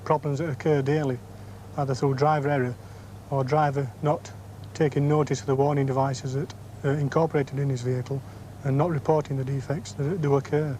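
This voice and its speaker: A British man giving formal, matter-of-fact interview answers as a technical engineer, in measured phrases. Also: mid-range voice, little pitch movement, mellow, a little hiss or hum. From Yorkshire area, England. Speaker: depot engineer.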